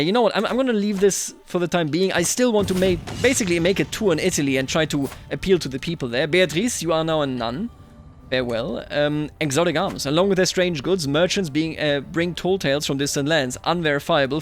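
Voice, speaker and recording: A man giving live roleplay commentary over a strategy game, thinking aloud and now and then addressing characters theatrically, with music behind him. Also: on the high side. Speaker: gaming video host.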